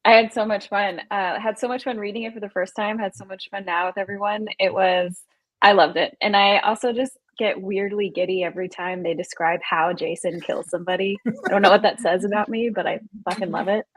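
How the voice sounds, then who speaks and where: Female panelist, medium pitch, dry sound, informal and gushing. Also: steady tone, brisk, no room sound. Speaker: panel participant. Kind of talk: casual chat.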